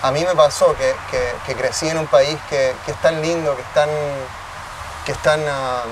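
A man's voice: a little high, steady tone, normal speed. A man in his thirties with a Chilean accent, giving reflective interview answers in a city park and often restarting his phrases. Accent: Chilean Spanish. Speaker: guest, tech cofounder. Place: outdoor park under a tree, city. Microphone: distant camera mic. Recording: distant mic, city traffic noise.

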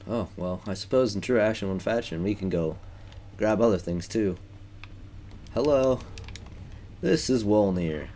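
A man with a low-pitched voice talks through gameplay in a casual, rambling way. His delivery is wry and informal, like a let's player narrating as he goes. There is clear noise behind him, with game ambience. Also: lively intonation, measured pace, long pauses, mellow.

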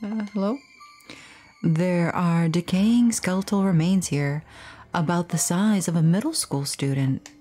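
A woman's voice: lowish voice, unhurried, dark tone. A woman game streamer casually playing a horror game and reading its text aloud. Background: game music.

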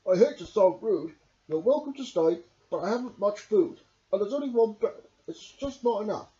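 A man's voice: high-pitched, animated, moderate pace, dark tone, voice pushed up. A man voicing a kind, worried, easily flustered young badger in a picture-book story, exclaiming and fretting. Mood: apologetic groaning. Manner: fretful, exclaiming. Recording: dull lo-fi home recording.

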